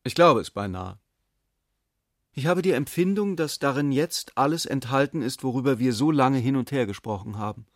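An actor in a literary radio drama playing a thoughtful man in a philosophical dialogue, speaking in a measured, questioning way. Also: mid-range voice, animated, no room sound, lightly acted. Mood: reflective. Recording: dry close studio sound.

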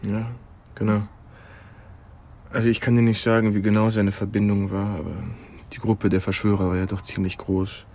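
An actor playing a man who recounts his undercover wartime past in a radio drama, measured, wary and confiding. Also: fairly low pitch, level tone, a little mellow, natural voice. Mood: explaining, confiding. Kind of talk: radio drama first-person narration.

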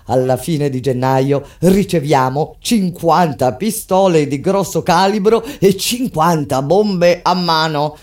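A woman playing a resistance fighter who recounts a wartime uprising in solemn, deliberate, declamatory theatrical narration. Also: low-pitched. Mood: grave, subdued. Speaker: stage actor and narrator. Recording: loud music under the voice. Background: loud live orchestra music.

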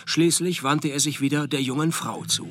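A man playing a world-weary, sardonic private detective, narrating his case in a radio play in a dry, deadpan way. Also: a little high, moderate pace, lightly acted.

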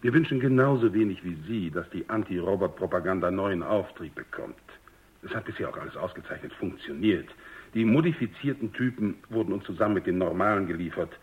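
A man's voice: deep voice, lightly acted. A man playing a courteous general who explains things formally and carefully in a German radio drama, on a dull lo-fi recording. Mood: reassuring, formal. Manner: formal, polite, measured. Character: courteous male general commanding a research base, explaining himself.

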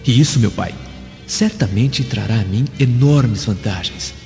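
A man's voice: medium pitch, measured pace, darkish tone, natural voice. Man playing an earnest young slave, reverberant. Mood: earnest, plotting. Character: young male slave, devoted son, scheming revenge. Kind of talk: radio drama acting, letter monologue. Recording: dull recording, long reverb, faint music. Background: music.

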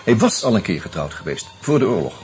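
An actor playing an earnest, troubled man turned amateur sleuth in a Dutch radio play, insistent and halting as he reasons aloud. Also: fairly low pitch, big pitch swings, darkish tone, soft background music. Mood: brooding, intent. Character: earnest, agitated amateur sleuth, a murdered man's loyal friend.

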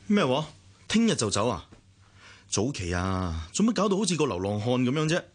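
A man's voice: mid-range voice, sing-song, normal speed, slightly rough. A man with a Hong Kong Cantonese accent acting a protective but detached elder brother in a radio drama, admonishing and matter-of-fact. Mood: concerned, admonishing.

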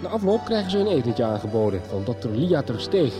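A man's voice: mid-range voice, sing-song, brisk. A man reading a scripted recap as narrator of a radio soap serial. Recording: music behind the voice. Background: music.

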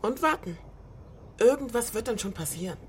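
A woman's voice: on the high side, animated, moderate pace, slight background noise, natural voice. An actress playing a pragmatic woman helping a fugitive friend, in naturalistic radio drama dialogue. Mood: matter-of-fact.

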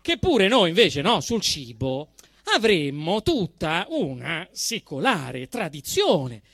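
A man's voice: a little high, sing-song, takes it slowly, a bit bright, no echo. A male actor with a Roman Italian accent delivers a theatrical satirical monologue, telling it in an emphatic, indignant, mock-solemn manner with dramatic swings. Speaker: actor performing a comic monologue.

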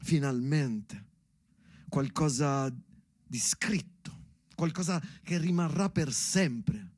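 A man's voice: fairly high pitch, slow pace, darkish tone, no echo, clean studio sound. A man performing a theatrical solo monologue, declamatory and rhythmic, with repeated phrases. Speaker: stage actor performing a solo show. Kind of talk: theatrical monologue addressed to his daughter.

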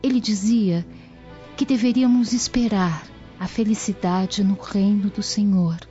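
A woman's voice: mid-range voice, fairly even intonation, measured pace, mellow, natural voice. An actress playing a devout, lovestruck young Roman noblewoman, reminiscing tenderly and solemnly in a radio drama. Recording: dull lo-fi radio drama audio. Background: music.

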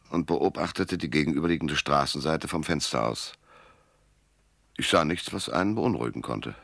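An actor playing a world-weary, laconic private detective who narrates in a dry, sardonic, gravelly way in a German noir radio drama. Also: male voice, extremely low pitch, fairly bright tone, dry recording, exaggerated voice.

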